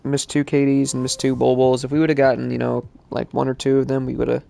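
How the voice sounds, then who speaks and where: A man's voice: medium pitch, little pitch movement, a little fast, gravelly, mellow. A male streamer chatting casually while playing, sounding congested from allergies and rambling with lots of fillers. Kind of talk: live-stream gaming chat.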